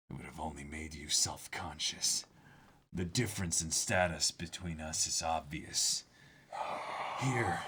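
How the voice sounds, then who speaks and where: A man voicing a cold, haughty young prince in a dramatic manga reading, deliberate and disdainful. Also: low-pitched, fairly bright tone, slightly rough, exaggerated voice. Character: cold, haughty young prince spurning his pirate crewmates.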